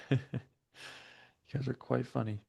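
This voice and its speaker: A man's voice: mid-range voice, steady tone, takes it slowly, creaky, warm tone, slightly rough, no room sound. A man raised in the States, French his first tongue, hosting a live trading stream and calling out price levels and setups methodically while chatting with viewers. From United States. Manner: chats with viewers between chart reads. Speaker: day-trading stream host. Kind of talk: live market commentary.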